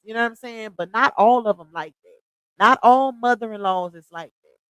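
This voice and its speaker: A woman's voice: fairly high pitch, brisk, smooth, no room sound. Chatty woman in her thirties gossiping live. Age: 30s. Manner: chatty, laughs often, addresses viewers as y'all. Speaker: livestream gossip commentator. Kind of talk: casual livestream gossip chat.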